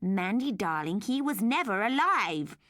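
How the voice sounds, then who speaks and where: A woman voicing a fussy middle-aged mother who chides her daughter briskly. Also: mid-range voice, sing-song, a bit bright, no room sound, studio quality, pitched above the performer's own voice. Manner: brisk, chiding.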